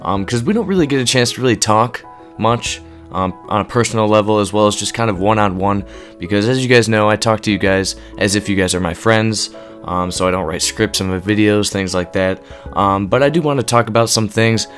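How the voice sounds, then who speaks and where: A man in his twenties with a hoarse voice, monotone and rambling, talking earnestly and unscripted to his viewers. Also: on the low side, brisk, soft background music. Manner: rambling, earnest, many ums. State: hoarse, voice going from illness. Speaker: gaming YouTuber. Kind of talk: unscripted casual talk to camera.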